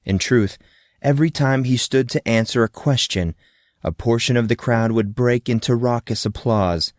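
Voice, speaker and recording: A man's voice: medium pitch, a little mellow, dry recording, clean studio sound. A man reading a fantasy novel aloud as narrator, in a friendly storytelling manner. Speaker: audiobook narrator.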